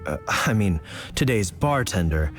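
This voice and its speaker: An actor playing a fussy, formal town knight in an anime-style game, hesitant and theatrically flustered. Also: male voice, lowish voice, sing-song, a little slow, soft background music. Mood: hesitant, suspicious.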